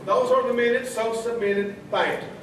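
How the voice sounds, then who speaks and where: A man's voice: very high voice, moderate pace, fairly bright tone, a little hiss or hum. A man playing an earnest, officious lodge secretary with a folksy, corny manner in a comic radio play. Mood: officious, jokey. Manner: officious, folksy, awkwardly jokey. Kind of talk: comic radio theatre acting. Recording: very echoey hall, distant mic.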